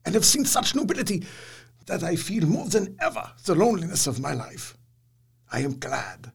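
Casting: effusive older foreign doctor for gothic audio drama; gravelly creak, raised pitch, florid and exuberant. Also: male voice, exaggerated voice. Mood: earnest, solemn.

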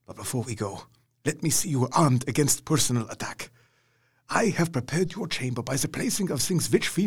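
A man's voice: fairly high pitch, slightly rough, exaggerated voice. An actor playing an elderly vampire hunter professor, solemn and fatherly, speaking in a grave, formal way. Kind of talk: audio drama acting.

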